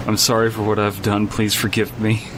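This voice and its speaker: A man's voice: monotone, moderate pace. A man co-hosting a podcast in casual comedic banter, reading a story aloud and riffing on it crudely. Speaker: podcast co-host. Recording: noisy, low rumble behind the voice.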